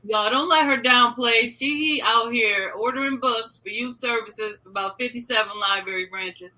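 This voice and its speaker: Joking guest on a live stream; bright, cutting tone, teases the host. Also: medium pitch, normal speed, poor audio. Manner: teasing, joking. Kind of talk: casual live-stream chat.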